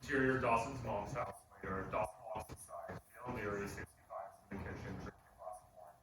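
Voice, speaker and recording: A man reads scripted stage directions at a live table read. His voice is fairly low, heard from a distance with heavy echo and clear background noise. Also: steady tone, quick pace. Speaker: narrator reading stage directions. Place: live performance venue. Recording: very echoey, distant, noisy live recording.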